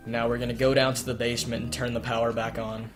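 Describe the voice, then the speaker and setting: A man's voice: mid-range voice, flat delivery, fast talker, over music. A young man narrating a step-by-step game walkthrough in an explanatory manner, his voice strained and cracking from long talking. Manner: explanatory, repeats points, filler "uh". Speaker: speedrun guide narrator. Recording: noisy home recording with keyboard clicks.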